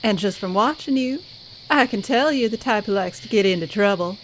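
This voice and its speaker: A woman's voice: mid-range voice, animated, a little fast, a little hiss or hum, close to an everyday voice, rough recording. A woman playing a motherly, no-nonsense band director in an audio drama, folksy and reassuring. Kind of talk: audio drama character acting.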